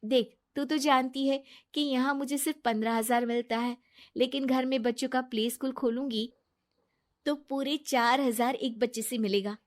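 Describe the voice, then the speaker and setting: A woman voicing a naive, soft-hearted young wife, earnest and pleading, in a moral story. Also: sits high, fairly even intonation, moderate pace, voice pushed up, close to an everyday voice. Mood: chatty, hopeful.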